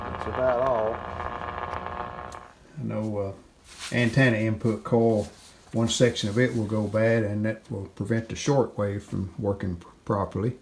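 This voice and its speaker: A man with a fairly low voice talks through a hands-on repair in a casual way. He is very slow and unhurried, thinking aloud as he goes. There is clear noise behind his voice. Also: fairly even intonation, darkish tone, low-quality recording. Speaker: hobbyist restorer presenting a repair video.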